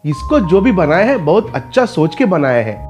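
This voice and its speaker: Cartoon scientist, man narrator acting: kindly, helpful, reassuring, soft music behind. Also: fairly high pitch, sing-song, moderate pace. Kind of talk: cartoon story dialogue.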